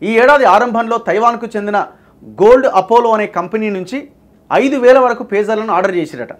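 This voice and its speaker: Male news presenter, Telangana accent, high register, emphatic explanatory delivery. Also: normal speed. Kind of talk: news reading with explanatory commentary.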